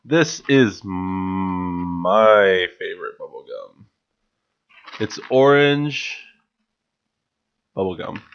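A man's voice: low voice, big pitch swings, drawn-out words, pauses often, clear, resonant tone, muffled recording. A young man chatting casually and enthusiastically in a home vlog, stumbling over his words now and then as he shows off his grocery haul. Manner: chatty, enthusiastic, with frequent filler restarts. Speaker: vlogger. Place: small home room, indoors. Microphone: studio mic on a stand, wearing headphones.

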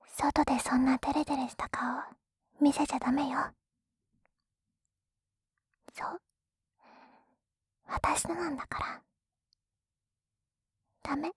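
A woman playing a sweet, clingy young wife in an intimate binaural ASMR roleplay, speaking softly and breathily close to the mic. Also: high-pitched, steady tone, takes it slowly, pauses often, no room sound. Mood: possessive, teasing. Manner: hesitant, soft, breathy, clingy. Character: sweet, tipsy, jealous young wife doting on her husband. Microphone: close binaural dummy-head microphone.

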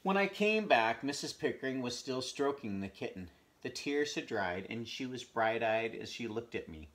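A man in his fifties reading a children's storybook aloud in an expressive, animated way at home. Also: mid-range voice, moderate pace. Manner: animated storytelling with asides to the listener. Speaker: storybook reader. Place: small furnished room at home.

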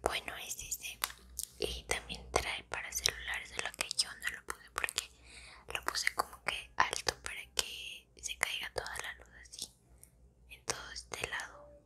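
Young mexican girl in her 20s doing asmr, slow breathy whisper right up on the mic, casual chatty. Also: extremely low pitch, completely flat delivery. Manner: soft-spoken, intimate, unhurried. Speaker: ASMR video creator. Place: small indoor room at home. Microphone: close microphone.

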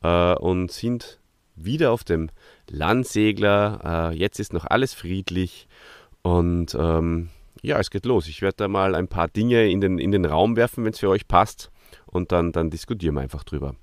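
A man's voice: deep voice, measured pace, dry recording, studio quality. A man with an Austrian German accent hosting a podcast and chatting casually through a review. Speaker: podcast host.

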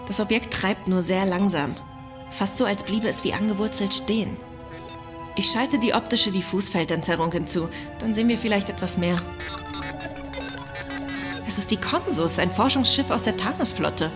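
Actress as spirited starship navigator, music behind. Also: female voice, medium pitch, normal speed, pauses often, natural voice. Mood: alert. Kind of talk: science-fiction radio play acting.

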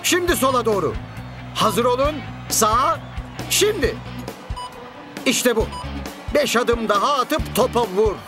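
A man playing an excitable, easily flustered cartoon character who barks out directions. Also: extremely high pitch, takes it slowly, pauses often, over music, full character voice. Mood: commanding.

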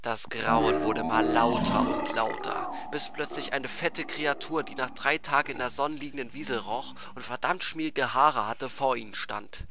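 A man's voice: mid-range voice, normal speed, few pauses, noisy background. A man narrating a comic fantasy tale in a theatrical, emphatic scripted style. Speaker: storyteller.

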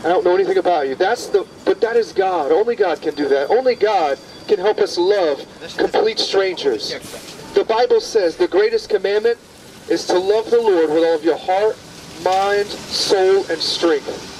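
A man's voice: sits high, animated, normal speed, darkish tone. Guy preaching on the street, earnest and pleading, traffic and city noise all around, mic pretty far away. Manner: earnest, pleading, direct address to passers-by. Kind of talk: open-air street preaching. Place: busy outdoor bus stop in a city square. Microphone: far camera, no visible mic. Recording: distant outdoor camera audio with street noise.

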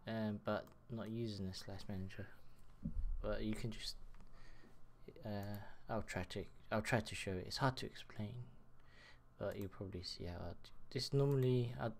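Livestream tutor, 30s male, low gravelly voice, slow halting delivery, headset mic with faint noise. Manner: slow, halting, muttering while working. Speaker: livestreamer giving a software tutorial. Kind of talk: casual live tutorial, thinking aloud. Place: small room at a computer desk.

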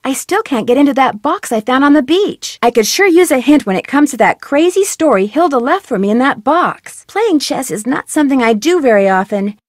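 A young woman detective in a mystery game, curious and chatty, bright lively voice, dry studio sound. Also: sits high, fairly quick, hardly any pauses, dry recording. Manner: inquisitive, chatty, asks for hints. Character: young female amateur detective, curious and upbeat. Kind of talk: studio video game voice acting.

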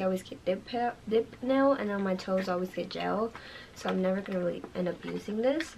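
A young woman in her twenties, a bit hoarse from losing her voice, chatting casually as she unboxes and reviews makeup at home, filling her talk with "like" and "you guys". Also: fairly high pitch, slight background noise. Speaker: beauty vlogger. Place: bedroom with makeup shelves, indoors.

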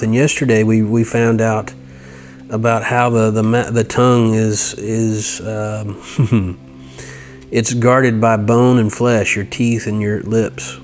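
A man in his fifties giving an informal devotional lesson, reading aloud and explaining in a halting way, often correcting himself. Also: medium pitch. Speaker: devotional teacher and host. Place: indoor room in front of a large window. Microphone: lavalier clipped to shirt.

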